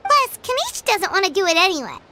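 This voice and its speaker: A woman's voice: very animated, fast talker, background noise. A woman voicing a tiny, chirpy female companion creature, chatty and cheeky in a game. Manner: chatty, cheeky, high and bouncy. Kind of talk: game voice acting. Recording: loud game music behind.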